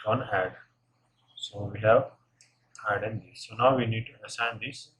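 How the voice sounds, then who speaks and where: A man narrating a step-by-step software tutorial, unscripted and halting as he thinks aloud while working. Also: lowish voice, steady tone. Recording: noisy room, keyboard and mouse clicks.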